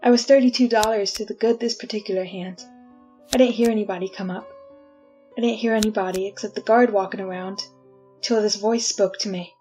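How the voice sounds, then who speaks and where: A woman's voice: mid-range voice, steady tone, fairly quick, warm tone, lightly acted, low-quality recording. A woman playing a resigned, wry condemned prisoner in a 1940s-style radio drama, talking in a folksy, confiding way. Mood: wry, brooding. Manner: colloquial, confiding. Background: music.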